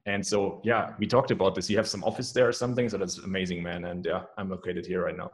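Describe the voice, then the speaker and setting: A man's voice: deep voice, steady tone, quick pace. A young adult man with a German accent, a guest chatting casually and enthusiastically on a podcast. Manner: upbeat, enthusiastic, repeats "man" and "right?". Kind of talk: casual interview chat.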